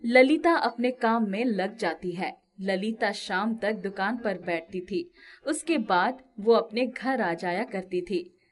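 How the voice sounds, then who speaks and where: A woman's voice: mid-range voice, takes it slowly. A woman narrating a scripted moral story for children as the storyteller.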